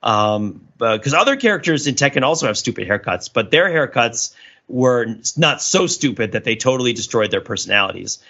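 A man hosting a podcast in casual chat, rambling through explanations in an animated, chuckling way. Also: medium pitch, a little fast. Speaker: podcast host. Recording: dull, lo-fi audio.

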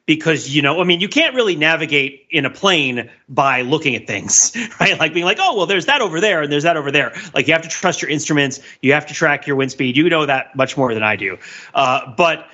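A man with a fairly high-set, very bright voice talks through a film as a podcast host. He explains in a casual way, often pausing to check that the point lands. The sound is a little lo-fi and dull. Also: expressive. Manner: explanatory, frequent rhetorical check-ins.

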